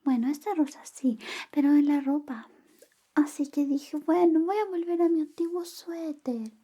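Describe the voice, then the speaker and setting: High, whispery young woman acting a lovestruck best friend. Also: natural voice. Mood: playful, evasive. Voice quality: soft, whispery, high-pitched. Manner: slow, coy, murmuring. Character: jealous female best friend secretly in love, teasing, caring. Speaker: ASMR roleplay performer. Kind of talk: ASMR personal attention roleplay.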